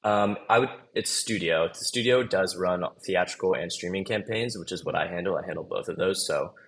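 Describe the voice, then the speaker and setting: A young man from Los Angeles, guesting on a podcast, talks in a loose, chatty, easygoing way. His voice sits very low with a gravelly, fry-laden edge. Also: fairly quick, fairly bright tone. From Los Angeles, USA. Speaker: podcast guest, marketing manager. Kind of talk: casual interview chat.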